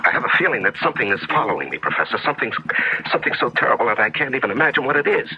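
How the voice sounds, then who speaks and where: An actor playing a desperate, fear-haunted journalist in a 1970s radio drama, agitated, halting and pleading. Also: male voice, mid-range voice, big pitch swings. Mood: frightened, pleading. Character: desperate, fear-haunted male journalist under a death curse. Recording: dull, noisy 1970s radio broadcast.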